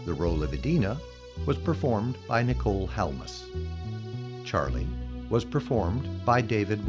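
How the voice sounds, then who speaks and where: A man reading scripted credits as an announcer. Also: on the low side. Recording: dull recording under prominent music.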